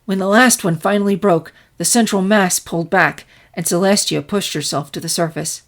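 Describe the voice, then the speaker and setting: A woman reading scripted fiction aloud as an expressive story narrator. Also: fairly low pitch, moderate pace.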